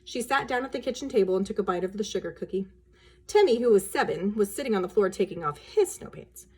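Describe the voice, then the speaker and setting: A woman reading a children's story aloud in an expressive narrating style, with casual asides. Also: medium pitch, fast talker, slightly rough.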